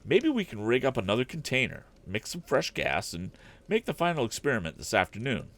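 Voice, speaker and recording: A man's voice: medium pitch, sing-song, a little fast, a bit bright, pitched above the performer's own voice. A man voicing an earnest, confident teenage boy inventor in a storybook reading, upbeat and a touch indignant. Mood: eager, confident.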